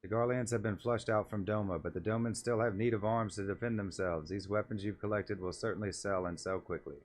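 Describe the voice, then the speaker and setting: A man voicing an encouraging, seasoned catfish-like appraiser creature from a game, in a measured, approving manner. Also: fairly low pitch, steady tone, close to an everyday voice. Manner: measured, approving, repeats words. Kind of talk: voiced reading of game dialogue.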